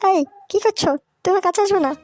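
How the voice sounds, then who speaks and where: A coy sister-in-law figure from a village cartoon, as a performer puts her on. Her tiny, very high voice pouts and complains flirtatiously, with music in the background. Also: steady tone, fast talker. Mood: coy, nervous. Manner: coy, whiny protesting. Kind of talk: comic cartoon dialogue. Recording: music behind the voice.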